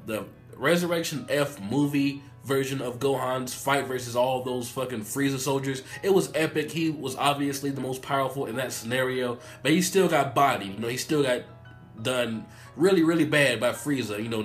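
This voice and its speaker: A man who has a cold and sounds nasal, giving a hyped, profane, rambling review of an anime episode. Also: mid-range voice. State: sick, nasal. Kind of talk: excited casual review vlog.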